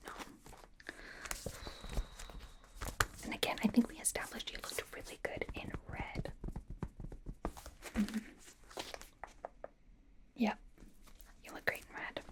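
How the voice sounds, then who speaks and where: Woman doing a whispery young kpop idol girl, slow and soft, gushing over you like a stylist, asmr roleplay. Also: close to an everyday voice. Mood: gentle, teasing. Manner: gushing, casual, slow, with repeated soft reassurances. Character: bubbly, fawning young female K-pop idol as personal stylist.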